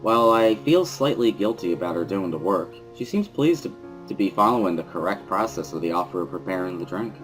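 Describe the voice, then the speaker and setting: A man with a low voice narrates a visual novel in the first person. He reads it as a dramatic story, with soft music playing underneath. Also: expressive, a little fast, rough recording.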